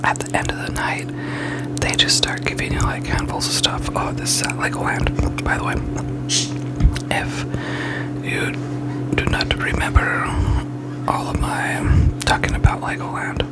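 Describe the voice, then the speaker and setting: Someone speaking in a soft, mostly unvoiced whisper, chatting casually and informally to viewers in an ASMR style, in short phrases with pauses, close to the microphone. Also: low-quality recording. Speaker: ASMR content creator.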